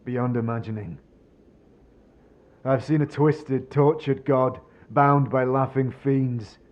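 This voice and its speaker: A man's voice: medium pitch, darkish tone, dull audio. A man acting a bitter, despairing man who has lost all faith, brooding and sardonic, in a fantasy audio drama. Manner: brooding, sardonic, rhetorical questioning.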